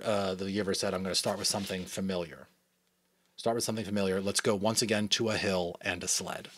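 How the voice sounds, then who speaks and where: A man's voice: on the low side, slightly rough, no room sound. A man from New England, a father, giving a dramatic audiobook reading with casual commentary. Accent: New England American. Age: adult, a father. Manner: animated, expressive storytelling. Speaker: audiobook reader.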